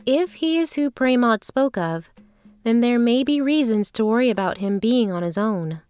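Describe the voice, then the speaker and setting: A woman's voice: fairly high pitch, lively intonation, moderate pace, a little mellow, clean tone, dry recording, close to an everyday voice. A woman playing a sweet, trusting but determined traveling performer in a fantasy audio drama. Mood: concerned, determined.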